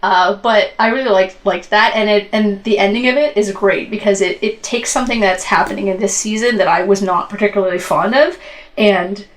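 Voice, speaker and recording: A woman's voice: medium pitch, fairly even intonation, a little fast. A woman from Canada co-hosting a podcast, giving reviews in informal, upbeat fan chat. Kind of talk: casual chat reviewing TV shows.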